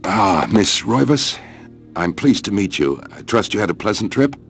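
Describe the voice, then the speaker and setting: An actor playing a formal, polite police inspector in a video game, speaking in a measured, apologetic way. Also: male voice, lowish voice, lively intonation, soft background music. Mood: polite, somber. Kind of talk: video game cut scene acting.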